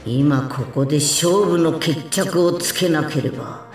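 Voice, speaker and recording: Enraged, echoing hero warrior. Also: male voice, fairly high pitch, slow. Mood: grimly determined. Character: enraged heroic adult male martial-arts warrior. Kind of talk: anime video game acting. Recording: echoey, with music behind.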